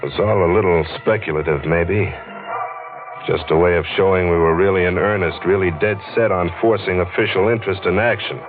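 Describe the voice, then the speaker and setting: An actor playing a dogged, wry investigator in a 1940s radio noir, with clipped, sardonic delivery. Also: male voice, deep voice, fairly even intonation, fairly quick, close to an everyday voice. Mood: wry, brooding. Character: dogged, wry, hard-boiled male investigator narrating his case. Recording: muffled vintage radio recording with music.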